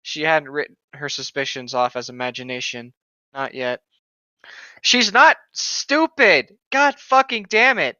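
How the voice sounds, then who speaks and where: A podcast co-host doing irreverent read-along banter with animated mock outbursts, heard through a dull lo-fi microphone. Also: medium pitch, unhurried, no room sound. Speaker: podcast co-host reading a novel aloud with commentary.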